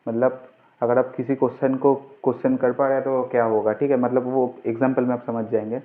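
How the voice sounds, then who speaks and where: A man's voice: medium pitch, level tone, brisk. A man teaching as an informal grammar tutor, instructive, asking questions and answering them himself. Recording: muffled, phone-like, narrow-band sound.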